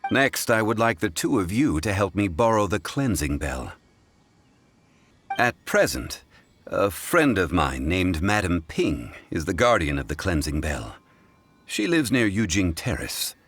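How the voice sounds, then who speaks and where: A man voicing a composed, courteous, scholarly gentleman in a video game, speaking in measured, formal, old-fashioned phrases. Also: sits low, very animated, slightly rough, exaggerated voice. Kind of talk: scripted video game dialogue.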